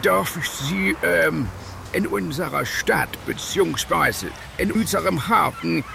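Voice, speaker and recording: A man playing a self-important, halting harbor master in an amateur comedy radio play. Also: fairly high pitch, animated, creaky, exaggerated voice. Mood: pompous welcome. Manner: pompous, halting. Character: self-important male harbor master, boastful big boss. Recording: noisy 1980s homemade radio recording.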